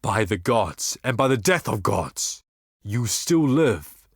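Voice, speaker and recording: A blind desert seer from a fantasy game, grandiose and tormented. His voice sits low and moves slowly, with a solemn, ominous weight to every formal phrase. The sound is close and dry. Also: sing-song, slightly rough, dry recording, heavily acted. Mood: wary. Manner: solemn, formal, ominous, deliberate theatrical delivery. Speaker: game character voice actor. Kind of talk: theatrical scripted fantasy game monologue.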